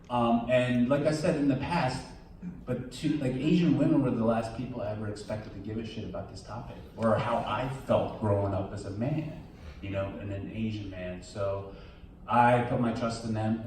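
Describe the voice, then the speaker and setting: A man's voice: lowish voice, a little mellow, slight background noise. A man answering questions at a film premiere Q&A, talking candidly and casually and often quoting his own thoughts. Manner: candid, casual, quotes himself. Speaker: documentary subject. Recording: echoey hall, from far off.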